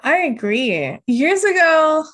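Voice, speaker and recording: A woman's voice: sits high, very animated, slow, fairly bright tone. A woman in her thirties hosting a podcast interview, chatting casually, agreeing and sharing personal stories. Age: 30s. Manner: conversational, agreeing, tells personal anecdotes.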